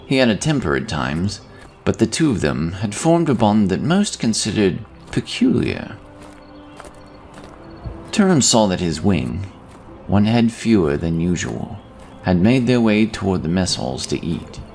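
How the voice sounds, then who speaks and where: A man's voice: deep voice, sing-song, measured pace, darkish tone, slight background noise. A man reading scripted fantasy narration as an audiobook narrator.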